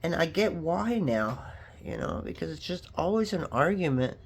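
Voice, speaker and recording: A craft vlogger who sounds a little sniffly and stuffed up. The speaker talks casually through a hands-on project and drifts into chatty asides. The voice sits at a middling pitch. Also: big pitch swings, moderate pace, creaky, husky. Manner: chatty and rambling, with frequent asides. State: sniffly, stuffed up from allergies or a cold. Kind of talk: casual tutorial chat while working.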